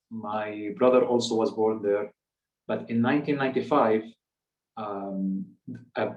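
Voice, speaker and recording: A man in his thirties with a Palestinian accent, a native Arabic speaker, telling a personal story in a measured, halting way. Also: medium pitch, darkish tone. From West Bank, Palestinian territories. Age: 30s. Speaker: guest speaker. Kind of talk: personal storytelling in a public talk. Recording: echoey room, distant microphone.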